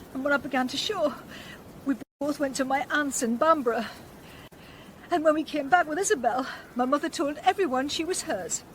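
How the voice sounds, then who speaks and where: A woman's voice: sits high, a little hiss or hum, poor audio. An actress playing a pious, bitter, grief-stricken village woman, anguished and intense, in a radio drama. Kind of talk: British radio drama acting.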